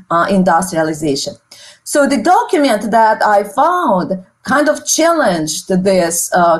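A middle-aged woman, a native Russian speaker with a Russian accent, speaks as an academic guest explaining her work. Her delivery is slow and hesitant, with pauses as she picks her words. Her pitch sits in the middle range for a woman. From Soviet Union. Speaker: guest, history professor and author. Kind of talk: explanatory interview talk.

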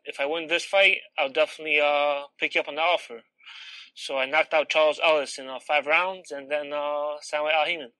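A man from Poland, a professional boxer, giving earnest, plainspoken, halting interview answers. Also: fairly high pitch, fairly even intonation, pushed and bright, dry recording, poor audio.

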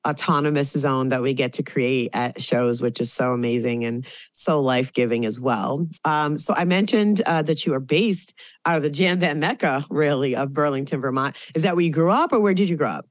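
A woman's voice: rumbling low, normal speed, dry recording. A woman hosting a podcast, asking questions in a thoughtful, casual way with frequent "um"s. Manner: thoughtful, warm interviewer with frequent "um"s. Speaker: podcast host. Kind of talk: casual interview.

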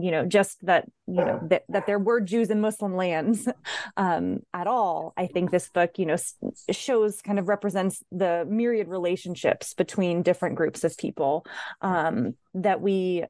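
A woman's voice: lowish voice, unhurried, darkish tone, no echo, studio quality. A woman talking as a guest about a book she co-edited, thoughtful and hedging, with many fillers. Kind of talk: casual academic conversation.

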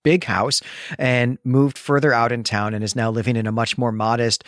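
A man's voice: medium pitch, fairly even intonation, moderate pace, fairly bright tone, no room sound, studio quality. A man hosting a podcast, talking through a literary analysis in an emphatic, explanatory way. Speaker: podcast host. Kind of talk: literary discussion.

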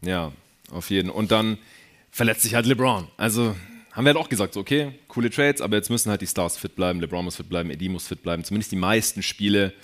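A man's voice: on the low side, fast, fairly bright tone. A man hosting a live sports podcast, chatting in a colloquial, jokey way. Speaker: podcast host. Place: live stage show.

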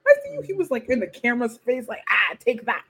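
A woman whose first language is French, co-hosting a casual podcast chat in a playful, animated way, acting out quotes. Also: a little high, quick pace, slightly rough. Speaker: podcast co-host.

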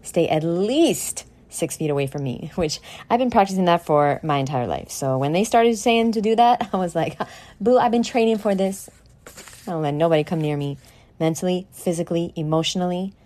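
Lowish female voice, blunt sweary motivational podcast host talking right at you, stretches words for drama. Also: animated, moderate pace, warm tone. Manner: blunt, brash, sweary, talks straight at the listener. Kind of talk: informal motivational podcast monologue. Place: at home in a condo.

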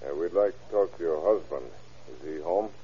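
A man's voice: low voice, lively intonation, normal speed, warm tone, a little hiss or hum. An actor playing a shrewd, dryly persistent American lawman who questions suspects in an old-time radio crime drama. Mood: probing. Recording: muffled vintage radio recording.

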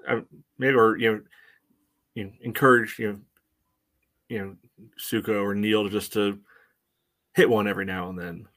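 Low-voiced man giving halting soccer analysis. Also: steady tone, fairly bright tone. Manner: hesitant, measured, frequent "you know" hedging. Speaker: soccer podcast co-host, analyst. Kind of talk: casual sports analysis.

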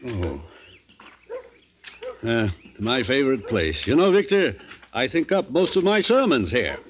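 An actor playing a friendly, concerned clergyman in a 1950s radio drama, conversational and gently questioning. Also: mid-range voice, sing-song, a little slow, lo-fi sound. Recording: noisy, hissy 1950s radio broadcast.

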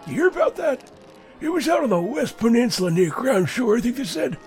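A man's voice: very high-pitched, very animated, fairly quick, darkish tone, soft background music, exaggerated voice. An actor playing a chatty, folksy older man, a wry and self-mocking regular at the movie theater. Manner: folksy, rambling, chuckling.

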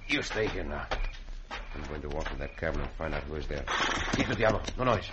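Animated Mexican cowboy hero, noisy recording. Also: male voice, a bass voice, a little fast, dull audio. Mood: cautious. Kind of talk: old-time radio western acting. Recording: very noisy old radio recording.

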